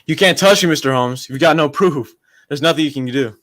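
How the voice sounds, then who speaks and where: A man's voice: on the high side, a little fast, fairly bright tone, natural voice. A man playing a deranged, power-mad village postman and bell ringer in a radio drama, with a rustic, menacing manner. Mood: defiant, menacing. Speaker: amateur actor in a student radio drama. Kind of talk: 1940s radio drama acting.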